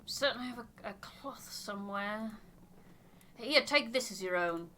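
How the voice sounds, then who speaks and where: A woman's voice: mid-range voice, lively intonation, a little slow, pushed and bright. Woman playing a prim, fussy researcher. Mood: fussy, earnest. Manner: formal, precise, fussy. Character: prim, fussy expedition researcher, formal and self-important.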